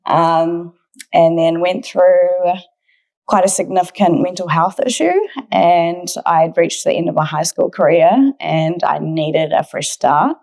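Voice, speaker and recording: Podcast guest: New Zealand woman, twenties, slightly low register, earnest, conversational personal storytelling. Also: flat delivery, unhurried, creaky, darkish tone. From Auckland, New Zealand. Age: 20s.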